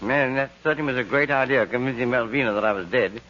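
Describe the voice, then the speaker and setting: A man's voice: medium pitch, fast talker, fairly bright tone, faint music behind, close to an everyday voice. A man playing a fussy, exasperated husband in a dry, theatrical 1940s radio comedy style. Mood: wry. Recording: dull, noisy vintage radio recording.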